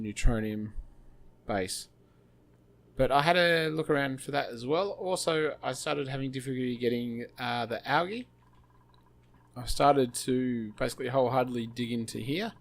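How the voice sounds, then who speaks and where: A slightly hoarse man hosting a gaming channel, thinking aloud with many fillers as he plays. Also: mid-range voice. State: slightly hoarse, losing his voice. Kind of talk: casual gameplay commentary.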